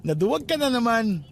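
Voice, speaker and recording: A man's voice: sits high, creaky, slight background noise, full character voice. A man playing a teasing, skeptical young man in a Filipino radio drama, with cocky, joking, slangy banter. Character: teasing, skeptical young male cousin, works as embalmer.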